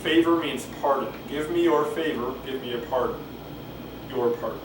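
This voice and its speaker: A man doing a halting, rambling, unrehearsed read-aloud of Shakespeare, stumbling and going back over lines. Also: mid-range voice. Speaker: amateur reader of a play. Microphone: camcorder microphone at a distance. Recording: roomy, distant camcorder mic with clear noise.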